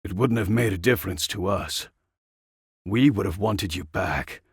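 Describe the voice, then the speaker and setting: A man playing a gruff, stubborn older male soldier with an insistent manner in an audiobook story. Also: deep voice, measured pace, no room sound, clean studio sound, heavily acted. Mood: pleading.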